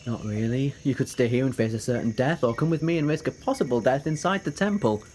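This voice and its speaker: A man's voice: mid-range voice, fast, a little mellow, a little hiss or hum, lightly acted. A man playing an ancient, flippant time-travelling hero in an audio drama, wry and given to urgency. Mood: brisk, wry.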